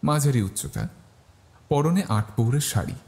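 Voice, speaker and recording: A man's voice: mid-range voice, lively intonation, normal speed, darkish tone. A man narrating a scripted horror story in the first person.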